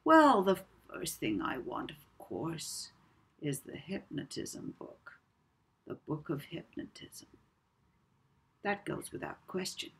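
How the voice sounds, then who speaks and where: A woman voicing a sinister, manipulative male blackmailer in a children's audiobook, soft-spoken and lazy, with deliberate pauses. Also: low voice, wildly swooping pitch, gravelly, slight background noise, full character voice. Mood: lazily demanding.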